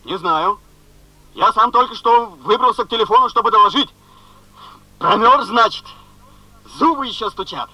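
An actor playing an earnest, flustered railway worker with halting speech, heard over a telephone in a 1970s Soviet radio play. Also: male voice, sits high, animated, bright, forceful tone, heavily acted. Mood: relieved, excited. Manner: halting, peppered with filler words. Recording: thin, muffled telephone line.

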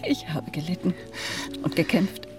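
An actress playing a torn, guilt-ridden married mother in a German radio play, breathy, halting and formal. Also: fairly low pitch, animated, music in the background, natural voice. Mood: anguished, hushed.

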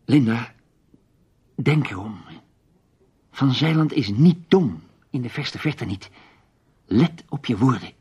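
A shrewd, protective radio play hero. His husky voice moves up and down expressively as he speaks with deliberate emphasis. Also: medium pitch, lively intonation, dark tone, dull audio, exaggerated voice. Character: shrewd, protective male adventurer, awkward with women. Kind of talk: radio drama acting.

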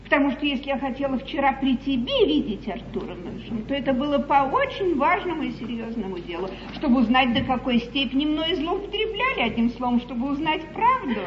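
A woman's voice: high voice, sing-song, fairly quick, few pauses. An actress playing a flighty, lovestruck queen in a 1950s stage comedy, stately yet flustered and hesitant. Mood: regal, confiding. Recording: dull echoey 1950s live theatre recording, noisy.

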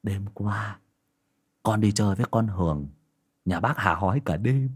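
Male narrator doing a lazy boastful young villager, whiny lively delivery. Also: lowish voice, unhurried, slightly rough.

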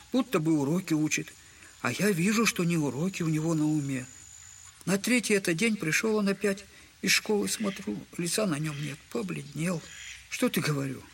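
A man's voice: on the high side, a little hiss or hum, natural voice. An actor in an audio drama playing a humiliated, buffoonish middle-aged poor father who talks in a servile, wordy, self-mocking way. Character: humiliated, buffoonish impoverished father, bitter and tender. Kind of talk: Russian literary audio drama acting.

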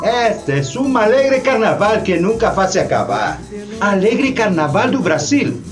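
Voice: medium pitch, big pitch swings, measured pace, music in the background. An actor playing a jolly, teasing carnival barker in a radio play, with a showy sing-song delivery and tinged Spanish. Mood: festive, inviting. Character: jolly, teasing Brazilian carnival barker inviting people along. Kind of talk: theatrical radio drama acting.

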